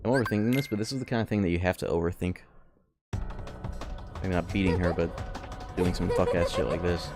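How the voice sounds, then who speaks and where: Low-voiced man musing over gameplay. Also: steady tone, fairly quick, pauses often. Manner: musing, analytical, sweary, slangy. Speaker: game streamer. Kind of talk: casual live gameplay commentary, thinking aloud. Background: music behind the voice.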